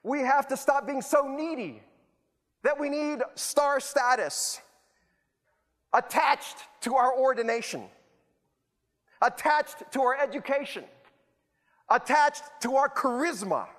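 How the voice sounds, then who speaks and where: Male preacher, high voice, rhetorical and self-mocking, echoing hall. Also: fairly bright tone. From El Salvador. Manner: rhetorical, repetitive, self-deprecating humor. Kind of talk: sermon. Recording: long hall reverb.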